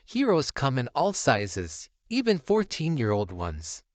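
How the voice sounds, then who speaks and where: A man's voice: mid-range voice, huge pitch swings, a little slow, no echo. A man voicing a modest, earnest fourteen-year-old girl heroine in a sweet manner. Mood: determined.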